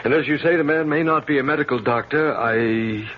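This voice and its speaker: An actor playing a genial, wry, self-assured doctor in a radio drama, gently patronising in manner. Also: male voice, mid-range voice, a little fast, natural voice. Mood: wry, patient. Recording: dull, noisy vintage radio drama recording.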